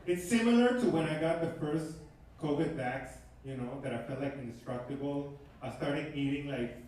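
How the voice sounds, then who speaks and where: A man in his thirties with a Venezuelan Spanish accent works the room as a stand-up comic, his fairly high voice animated and punchy. He sounds far from the mic in a very echoey club. Loud noise sits under everything. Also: normal speed. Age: 30s. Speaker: stand-up comedian. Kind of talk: stand-up comedy set. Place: comedy club stage.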